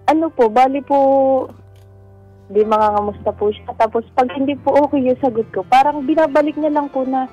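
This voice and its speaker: A woman's voice: high-pitched, fairly even intonation, fairly quick, warm tone, breathy edge. A Filipino woman calling a radio advice show, telling her personal story conversationally in a polite, deferential way. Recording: dull lo-fi call audio over music.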